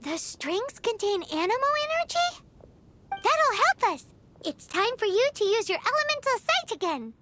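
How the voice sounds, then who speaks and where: A woman's voice: very high voice, big pitch swings, normal speed, bright, forceful tone, faint music behind, exaggerated voice. A woman voicing a tiny, chirpy fairy companion girl who speaks bluntly and refers to herself by name.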